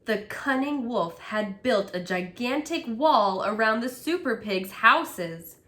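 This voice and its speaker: A woman telling a story to children as a storyteller and teacher, in an expressive storybook style. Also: mid-range voice.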